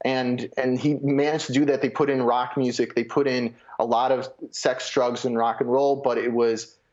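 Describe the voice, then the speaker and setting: Male pundit, mid-pitched, halting live commentary with repeated words, dull lo-fi link. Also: little pitch movement, darkish tone. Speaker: film reporter, interview guest. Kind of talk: unscripted live interview commentary.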